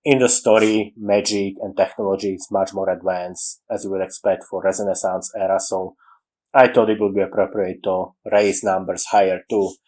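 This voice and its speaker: Slovak man, low voice, rambling. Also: level tone, normal speed, a little mellow, low-quality recording. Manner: rambling, with frequent restarts and dropped articles. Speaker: writing podcaster. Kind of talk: casual monologue on story writing.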